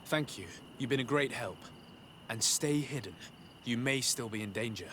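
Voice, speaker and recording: A man playing a composed, courteous young noble envoy in a video game, speaking in a polite, measured way. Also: mid-range voice, a bit bright, slight background noise, lightly acted. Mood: calm concern. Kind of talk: video game dialogue acting.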